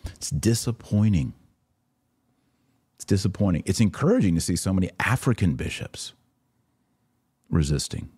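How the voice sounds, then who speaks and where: Male podcast host, low and a touch rough, dry sound, emphatic commentator fielding viewer questions. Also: animated, normal speed, pauses often, a little mellow, no echo. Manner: emphatic, repeats short phrases for stress.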